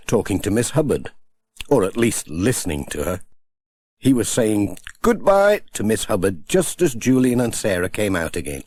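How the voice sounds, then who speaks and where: Male audiobook narrator, lowish voice, animated intonation, children's storytelling. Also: slightly rough.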